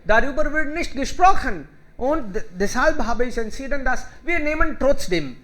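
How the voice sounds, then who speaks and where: This is a man with a very high, bright voice who hosts a conversation club over a video call. He speaks in simple, halting sentences and pauses often to welcome the participants. His tone stays clear and bright throughout. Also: lively intonation. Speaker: host of an online German conversation club. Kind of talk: casual group conversation in learner German.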